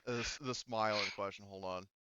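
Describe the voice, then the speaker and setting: A man runs a tabletop roleplaying game in casual, improvised narration. His voice is low and bright but roughly husky, and the dry recording is slightly dull. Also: fairly even intonation, fairly quick, dry recording. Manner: improvised, loosely phrased. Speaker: game master.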